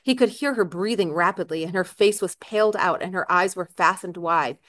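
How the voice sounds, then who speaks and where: A woman reading a novel aloud in expressive literary narration. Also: fairly low pitch, normal speed, dry recording, studio quality. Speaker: reader, author.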